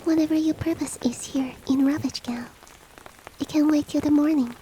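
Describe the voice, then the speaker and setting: A woman voicing a courteous, coaxing monster-girl maid in a soft, breathy, whispery roleplay ASMR style with formal, old-fashioned politeness. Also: sits high, steady tone, moderate pace, slight background noise, natural voice, rough recording. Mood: gently insistent. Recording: rain ambience behind the voice. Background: falling rain.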